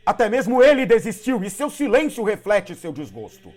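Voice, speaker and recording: A man's voice: high voice, very animated, normal speed, darkish tone. A man playing a wrathful, commanding seraph prince in an audio drama, with solemn, theatrical delivery. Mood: scornful.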